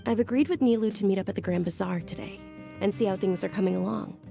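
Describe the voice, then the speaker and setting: An actress voicing a gentle, devout young noblewoman in a video game, speaking softly, earnestly and politely. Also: mid-range voice, quick pace, gravelly, lightly acted. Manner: soft-spoken, earnest, polite. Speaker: noble lady. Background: game soundtrack music.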